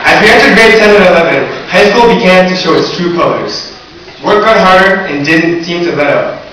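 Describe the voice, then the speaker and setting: A man's voice: high-pitched, lively intonation, fairly quick, pushed and bright. A teenage man delivering a graduation speech as valedictorian, earnest with a joking touch. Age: teens. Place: large echoey hall or gym. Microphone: recorded from far off in the audience. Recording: distant echoey hall recording with hiss.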